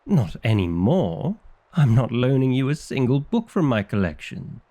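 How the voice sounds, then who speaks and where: A man's voice: mid-range voice, big pitch swings, moderate pace, mellow, lightly acted. A man playing a bookish, exasperated vampire librarian in an ASMR roleplay, wry and theatrical with flustered indignation. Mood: menacing, indignant.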